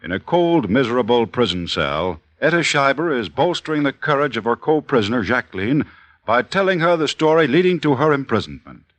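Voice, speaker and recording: A man's voice: mid-range voice, very animated, brisk. A man announcing a 1940s radio drama in a formal, dramatic scripted style. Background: loud music.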